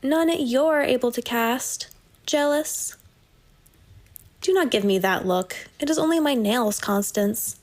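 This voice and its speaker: A woman's voice: fairly high pitch, takes it slowly. An actress playing a sly, unbothered teenage witch with a morbid curiosity, teasing in formal period audio drama style.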